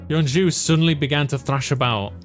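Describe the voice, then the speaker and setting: A man's voice: a little high, a little fast, soft background music. A man reading a visual novel aloud as a let's player, in dramatic story narration.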